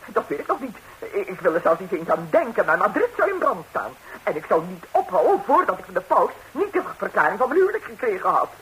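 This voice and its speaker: An actress playing an impulsive, impertinent gossiping busybody in a 1960s radio comedy, exclaiming theatrically on a hissy recording. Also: female voice, medium pitch, very animated, quick pace, few pauses, lightly acted, poor audio. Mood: dramatic disbelief. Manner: exclamatory, indignant, dramatically swooping delivery.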